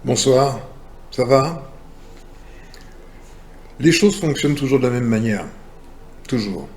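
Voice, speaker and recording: A man hosting a casual spiritual talk, speaking slowly and directly to the listener in a relaxed, familiar way. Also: medium pitch. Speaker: channel host.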